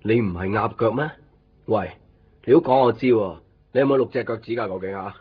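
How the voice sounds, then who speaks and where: An actor playing a gentle, teasing, devoted young man in a Cantonese radio drama, quiet and understated, with a Hong Kong accent. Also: fairly low pitch, a little fast, breathy edge. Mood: teasing, caring. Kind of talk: Cantonese radio drama acting, low-key and natural. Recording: dull lo-fi 1990s radio recording. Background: faint music.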